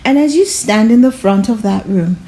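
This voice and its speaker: A woman reading a children's storybook aloud with gentle, deliberate, expressive phrasing. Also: medium pitch.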